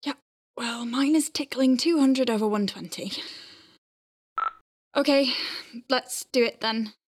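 A woman's voice: on the high side, slow. A woman playing an anxious, exasperated space medic working under pressure in an audio drama. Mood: urgent, anxious. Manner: urgent, exasperated.

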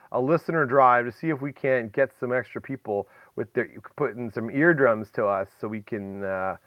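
A man's voice: on the high side, dry recording. Man hosting a podcast, dull dry sound. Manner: chatty, folksy exclamations, addresses listeners directly. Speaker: podcast host. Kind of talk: casual, rambling podcast chat.

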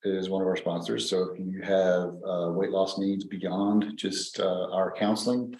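A man's voice: low voice, level tone, measured pace, darkish tone, slight background noise. A man in his fifties co-hosting a podcast, chatting casually and rambling with many fillers. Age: 50s. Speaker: podcast co-host. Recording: echoey room.

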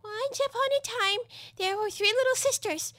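Hoarse, squeaky, sleepy dormouse. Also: female voice, fairly bright tone, dry recording. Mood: drowsy storytelling. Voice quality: hoarse, feeble, high-pitched. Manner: slow, drawling, drowsy. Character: sleepy, sulky, feeble storybook dormouse, easily offended.

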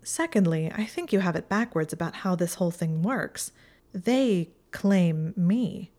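A woman's voice: on the low side, lively intonation, unhurried, warm tone. Woman reading a dry, clever young witch.

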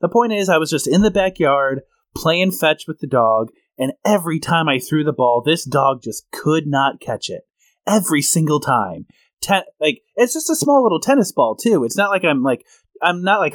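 A man's voice: a little high, very animated, moderate pace, dry recording. A man co-hosting a comedy podcast in casual chat, explaining things at length and telling stories. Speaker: podcast co-host.

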